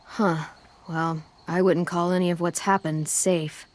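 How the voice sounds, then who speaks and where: A woman playing a tough, guarded teenage girl survivor in a video game, with measured, understated delivery. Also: lowish voice, level tone, lightly acted. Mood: gentle, reflective. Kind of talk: naturalistic video game voice acting.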